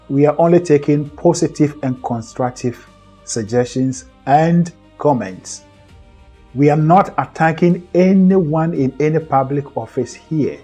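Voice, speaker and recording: A man's voice: medium pitch, dark tone, slightly rough. A middle-aged man hosting a motivational talk, earnest and preacherly, often repeating and rephrasing his points. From Ghana. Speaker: host and co-founder of a mindset group. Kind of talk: motivational lecture to camera.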